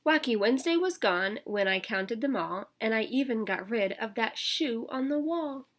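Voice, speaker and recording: Higher-pitched woman reading a children's story. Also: normal speed. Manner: expressive, emphatic story reading, prompting listeners with questions. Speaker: teacher reading a picture book aloud to pupils. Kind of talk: animated children's read-aloud with casual asides.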